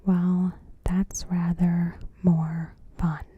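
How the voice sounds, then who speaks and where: Female ASMR narrator: whispered, very breathy commentary, extremely unhurried, in short measured phrases. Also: fairly low pitch, little pitch movement, dry recording, phone-quality sound. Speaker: ASMR host. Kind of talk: ASMR, softly spoken TV episode commentary.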